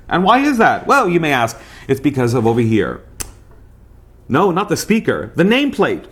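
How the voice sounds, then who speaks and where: Guy hosting a casual review, mid pitched voice, chatty, asks himself questions then answers, repeats stuff for emphasis. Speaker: host of a radio review show. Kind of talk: casual on-camera product review.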